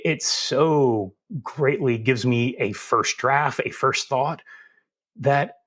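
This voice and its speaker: Man, Southern US accent, conversational, folksy, self-deprecating, mid pitch. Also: a bit bright. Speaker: security instructor as guest. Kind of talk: conversational interview answers.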